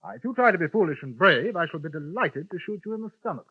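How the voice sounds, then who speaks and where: A man's voice: high-pitched, expressive, fast. A cool, formal gunman. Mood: cool menace. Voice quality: crisp, formal, drily menacing. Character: cool, polite gentleman gunman, middle-aged man. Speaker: narrator and lead. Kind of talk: 1950s radio drama acting. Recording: tinny old radio broadcast, faint hiss.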